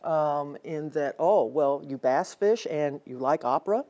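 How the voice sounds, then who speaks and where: A woman's voice: sits low, expressive, unhurried, dark tone, muffled recording. An older woman, an athletic director, talking earnestly as a guest in a TV studio interview, with many fillers and restarts. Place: TV studio set.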